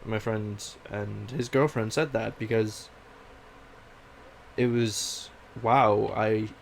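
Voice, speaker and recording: A man's voice: fairly low pitch, steady tone, slow pace, creaky, a little hiss or hum. A young man from Canada who speaks with a mix of British and Canadian accents, hosting a casual, rambling, self-deprecating personal monologue. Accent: British mixed with Canadian. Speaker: podcast host.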